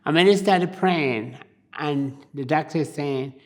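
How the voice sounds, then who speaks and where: A woman's voice: low-pitched, unhurried. An older woman from Barbados, telling her life story in an oral history interview in a plain-spoken, reflective way.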